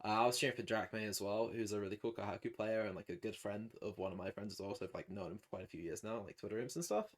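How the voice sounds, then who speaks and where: A man's voice: lowish voice, fast, few pauses. A man chatting casually as an esports commentator, telling stories in slangy, informal language. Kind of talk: casual chat about commentating.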